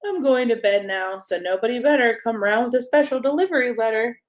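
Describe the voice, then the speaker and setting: A woman's voice: a little high, natural voice. A woman voicing a sleepy, grumpy old drawbridge keeper from a children's storybook, yawning and drawing out the words. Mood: sleepy, stubborn. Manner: yawning, drawn-out, loud declaration.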